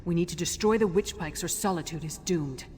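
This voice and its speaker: A woman's voice: lowish voice, a little fast, mellow, lightly acted. A woman playing a battle-hardened, commanding warrior companion in a video game, speaking bluntly and brusquely. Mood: resolute. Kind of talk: video game character acting. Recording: cavernous long reverb, background noise.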